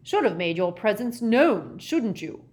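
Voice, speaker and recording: A woman's voice: on the low side, animated, measured pace. A woman voicing an aggressive, suspicious elderly man with curt, rustic speech in a storybook reading. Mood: aggressive, loud. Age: older. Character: gruff, aggressive, suspicious elderly male head of a squalid household.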